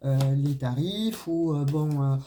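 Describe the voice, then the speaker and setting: A woman's voice: low voice, takes it slowly, warm tone, a little hiss or hum. A woman with a regional French accent, not a Parisian one, giving a casual tarot and oracle card reading. She talks straight to her viewers and fills her speech with hesitations and little tag words. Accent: non-Parisian French regional accent. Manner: chatty, full of "euh" and "hein" fillers, addresses viewers directly.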